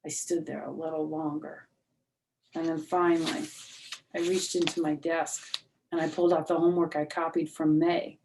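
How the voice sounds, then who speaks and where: Creaky, low-voiced woman reading a children's story. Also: flat delivery, moderate pace, a little mellow, slight background noise, rough recording. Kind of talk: expressive read-aloud of a children's book, with explanatory asides.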